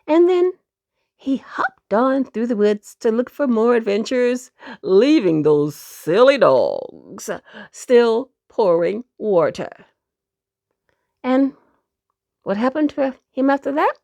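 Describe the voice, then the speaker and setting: Slow, animated woman telling children's stories. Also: a little high, creaky, dry recording. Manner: addresses young listeners directly, dramatic emphasis. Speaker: children's storyteller. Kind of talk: expressive storybook narration for children.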